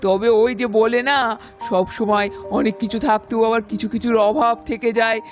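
A woman voicing a wistful old village grandmother in a puppet cartoon, speaking in an emotional, drawn-out rustic way. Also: on the high side, steady tone, dull audio, faint music behind, lightly acted. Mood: wistful, fond. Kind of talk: puppet cartoon story dialogue.

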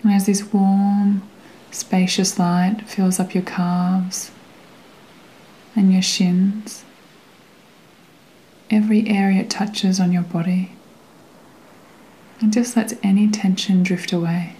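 A woman guiding a meditation in a gentle, soothing way, with a soft, sometimes breathy voice and long pauses between phrases. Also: mid-range voice, little pitch movement, poor audio. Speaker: meditation guide. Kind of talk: guided meditation narration.

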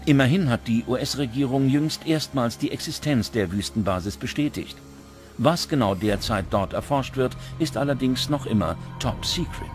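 A man reading scripted documentary narration in a measured, dramatic manner. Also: medium pitch, animated. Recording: music under the voice. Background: background music.

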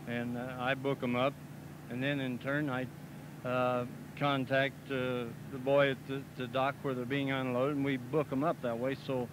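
A middle-aged man talks plainly, halting often with "uh" and tag questions like "see?". His voice sits at a mid pitch. He is recorded outdoors on a handheld mic, with steady noise on old 16mm film sound. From Missouri, US. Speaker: packing plant representative for a farmers group. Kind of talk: filmed outdoor interview. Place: outdoors by a cattle pen. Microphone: handheld mic held by interviewer.

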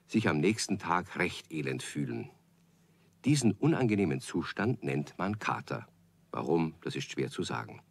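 A man narrating a scripted children's story, chatting directly to his young listeners. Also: low voice.

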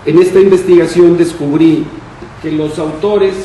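Echoey room, distant mic, older man, mellow fairly high voice, formal panel talk. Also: steady tone, a little slow, a little hiss or hum. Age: older, 60s or 70s. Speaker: panel speaker on science fiction. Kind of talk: public talk at a discussion panel. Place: indoor room at a panel table. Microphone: shared table microphone on stand.

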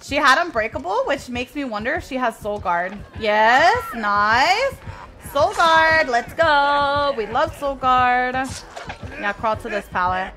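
A bright-toned woman streamer with a fairly high voice, chatting away as she plays live. Spanish is her first language, and she answers her viewers between moves, with faint game music underneath. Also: lively intonation, takes it slowly. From Mexico. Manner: chatty, reads and answers chat while playing. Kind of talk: live gameplay commentary.

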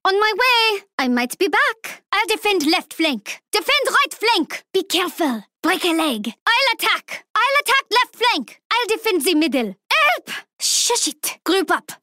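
Sassy high-pitched young thief girl. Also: big pitch swings, measured pace, hardly any pauses, pushed and bright, no room sound, studio quality, exaggerated voice. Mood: urgent, alert. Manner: sassy, taunting, playful. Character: cocky young female street thief with knives. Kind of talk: video game character voicelines, short barks.

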